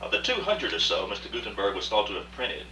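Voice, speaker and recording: A proud, self-justifying older gentleman collector acted by a man in a radio play. The voice is very bright and forceful, with formal, sweeping theatrical phrasing. It is recorded from a distance in a roomy space with faint hum. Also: lowish voice, huge pitch swings, quick pace, exaggerated voice. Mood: refined pride. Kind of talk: live radio play acting.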